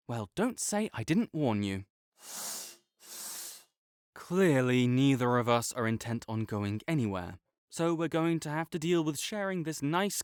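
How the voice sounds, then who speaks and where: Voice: medium pitch, a little mellow, dry recording, clean studio sound. A young adult performer voicing a sarcastic, condescending academic rival with a slight tsundere streak, teasing throughout. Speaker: audio roleplay performer. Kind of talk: scripted audio roleplay acting.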